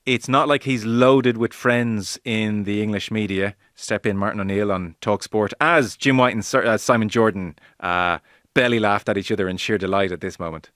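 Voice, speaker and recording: Low, bright-voiced male football show host. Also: moderate pace, no room sound, studio quality. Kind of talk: conversational sports presenting.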